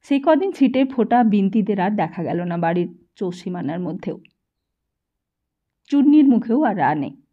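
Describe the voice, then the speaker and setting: A woman's voice: mid-range voice, sing-song, normal speed, long pauses, narrow, tinny audio. A woman narrator reading a literary story as a scripted audiobook.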